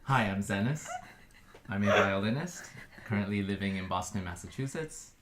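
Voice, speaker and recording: A woman from California, a violinist, briskly introducing herself. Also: extremely low pitch, lively intonation, very noisy. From San Jose, California.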